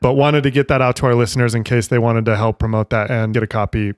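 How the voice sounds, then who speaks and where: A man's voice: medium pitch, little pitch movement, quick pace, clean tone, no echo. Male co-host, upbeat and easygoing, close dry studio mic. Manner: upbeat, laughs easily, promotional. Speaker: podcast co-host. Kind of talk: casual podcast chat.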